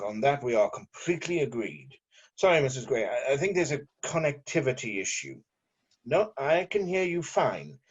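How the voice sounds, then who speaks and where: A man's voice: medium pitch, husky, lo-fi sound. A man playing a jaded, sarcastic newspaper journalist in an amateur script table read, with theatrical delivery. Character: sardonic, jaded male newspaper journalist, drunk when young.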